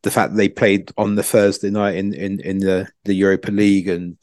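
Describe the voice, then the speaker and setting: A man giving casual football analysis as a pundit, haltingly, repeating words as he thinks. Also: deep voice, little pitch movement, darkish tone, no room sound, studio quality.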